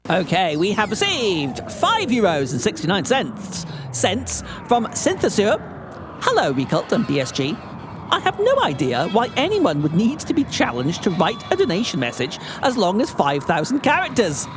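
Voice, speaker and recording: A man's voice: high voice, wildly swooping pitch, moderate pace, background noise. A man hosting a gaming marathon, reading donation messages aloud with exaggerated emphasis.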